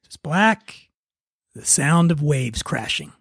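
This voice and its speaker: A male podcast host introduces and narrates a script. His voice is raspy and creaky, a bit high for a man, recorded close and dry. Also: animated, slow, a bit bright.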